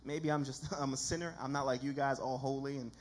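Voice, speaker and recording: A man with a husky voice preaching an informal, colloquial sermon to a church congregation. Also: medium pitch, fairly quick, slight background noise. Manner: colloquial, self-deprecating, animated mimicry of dialogue.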